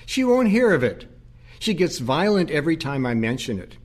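A man's voice: fairly high pitch, very animated, moderate pace, long reverb tail. A man acting in an audio play as a stressed, pleading priest who cares for his confused mother. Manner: earnest, burdened, pleading.

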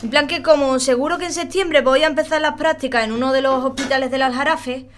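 A woman's voice: high-pitched, fairly even intonation, quick pace, lightly acted. A woman playing a defiant twenty-year-old daughter in a family audio sitcom, slangy and huffy, with an Andalusian accent. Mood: explaining. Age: 20s. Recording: clinking dishes and cutlery noise.

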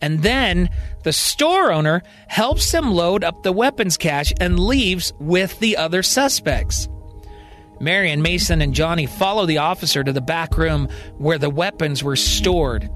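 A man's voice: a little high, lively intonation, measured pace, fairly bright tone. A man narrating a scripted science-fiction story as a dramatic podcast storyteller. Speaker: storyteller, podcast writer and performer. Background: music.

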